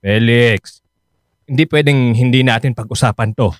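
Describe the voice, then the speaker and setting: A man's voice: mid-range voice, moderate pace, no room sound, natural voice. An actor playing a concerned, insistent middle-aged Filipino father in a radio drama, speaking earnestly. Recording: dry studio with music underneath.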